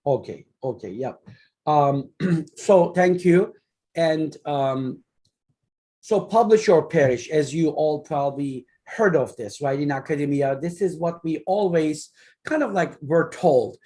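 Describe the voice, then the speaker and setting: A man in his forties, whose first language is Turkish, speaks English with a Turkish accent in a slightly high, mellow voice. He lectures earnestly as a journal editor in an online webinar, often pausing, restarting phrases and filling gaps with ums. The sound comes through a computer mic on a video call. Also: lively intonation. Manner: earnest, halting explaining with many fillers and restarts.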